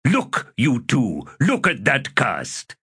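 A male narrator playing an excitable, wheedling soldier who cheats at dice and pleads with mock innocence. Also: mid-range voice, takes it slowly, breathy edge, voice pushed up, full character voice. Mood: excited, pleading.

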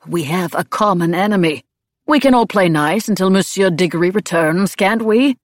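A woman's voice: lowish voice, dry recording, clean studio sound, lightly acted. Woman putting on a conciliatory, firm male peacemaker. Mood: placating, firm. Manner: placating, measured, firm.

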